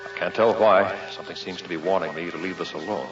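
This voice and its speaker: A man's voice: low voice, quick pace, natural voice. An actor playing a wary, reluctant younger male scientist in a grave, cautious manner in an old-time radio drama. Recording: muffled vintage radio, distant, music behind.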